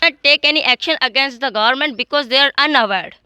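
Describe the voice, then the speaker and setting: A woman argues in a student debate. Her voice is high and bright, with a dry close sound. She pauses and corrects herself often. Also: no echo. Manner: halting, with fillers and self-corrections. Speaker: debate participant. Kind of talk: classroom debate in English.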